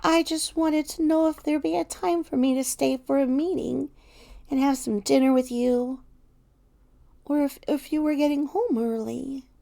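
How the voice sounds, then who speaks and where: A woman's voice: high voice, steady tone, a little slow, creaky, warm tone, pitched above the performer's own voice. A woman voicing a small, timid, hurt storybook companion in a meek, questioning little voice. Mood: meek, apologetic. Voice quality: tiny, little voice.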